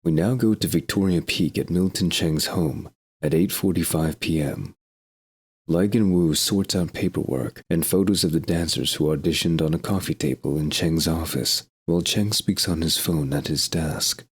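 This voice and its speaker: Very deep, husky male narrator. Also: normal speed. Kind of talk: scripted audio drama narration.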